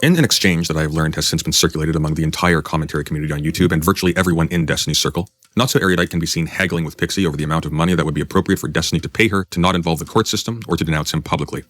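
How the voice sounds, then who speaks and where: A man reading scripted video-essay narration in formal, measured wording. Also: rumbling low, steady tone, a bit bright, no echo.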